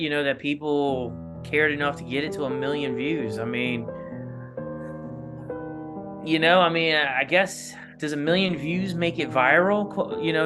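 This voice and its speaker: A man with a fairly high voice talks casually as the guest in an interview. He rambles conversationally and often adds "you know", while plucked guitar music plays clearly behind him. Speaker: interview guest, analog horror creator.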